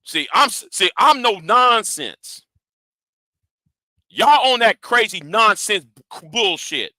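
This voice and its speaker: A man's voice: high-pitched, animated, takes it slowly, pushed and bright, no room sound, clean studio sound. A man ranting in a commentary vlog. He talks confrontationally and swears a lot, repeats and restarts his words, and challenges his audience directly. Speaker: YouTube commentator.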